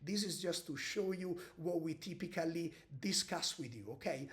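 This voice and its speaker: A man's voice: fairly high pitch, normal speed, a bit bright. A man co-hosting a podcast, giving casual commentary on financial markets and explaining in a conversational way. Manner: conversational, explains with rhetorical tags. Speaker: podcast co-host.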